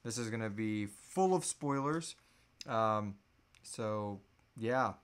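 A man's voice: on the low side, animated, slow pace, smooth. A man in his thirties is hosting a live question-and-answer stream. He talks in a casual, loose and easygoing way, free with a swear word, recorded at desk distance in a small room at home. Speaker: podcast host.

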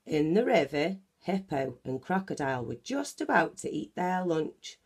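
A woman reading a children's picture book aloud in an animated, deliberate manner. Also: low voice, husky. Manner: animated, deliberate, acts out sound effects. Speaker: children's story reader. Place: small bedroom at home, indoors.

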